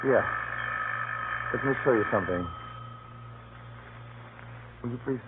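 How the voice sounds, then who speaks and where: A man's voice: medium pitch, slow, natural voice. A man playing a fearful, hunted little informant, secretive and nervously insistent, in a 1930s radio drama. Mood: anxious, urgent. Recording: muffled, noisy 1930s radio recording. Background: faint hiss and noise.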